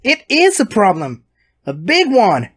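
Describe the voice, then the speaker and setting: A woman's voice: mid-range voice, huge pitch swings, a little slow, slightly rough, exaggerated voice. A woman voicing an irritable, insistent young heroine in a cartoon parody, blunt and exasperated. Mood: puzzled, insistent. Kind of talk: animated parody voice acting.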